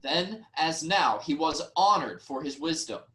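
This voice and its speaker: A man acting wronged Greek nobleman, somewhat high male voice, formal, measured, reasoning, classical tragedy. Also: expressive, pushed and bright. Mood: reasoning, defensive. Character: wronged, reasoning nobleman, brother-in-law of the king. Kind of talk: dramatic Greek tragedy reading.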